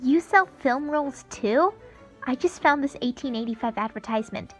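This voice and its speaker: Woman as eager radio-play girl, fairly high voice, inquisitive, faint music behind. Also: lively intonation, moderate pace. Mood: enthusiastic. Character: enthusiastic young girl, inquisitive time-travelling visitor. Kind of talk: scripted radio drama acting.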